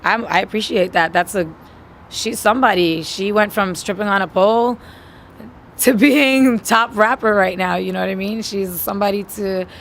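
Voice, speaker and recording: A woman with a New York accent talks in a blunt, slangy way, sure of herself. Her pitch is mid-range and she sounds like a guest in a casual interview, with faint noise around her. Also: steady tone, moderate pace, vocal fry. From Queens, New York, USA.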